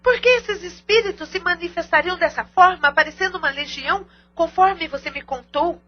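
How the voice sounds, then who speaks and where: An actress playing a sensible, loyal aunt who speaks earnestly in an audio drama. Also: female voice, very high voice, normal speed. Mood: earnest, reasoning. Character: sensible, loyal aunt reasoning over a mystery. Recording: dull lo-fi audio drama recording.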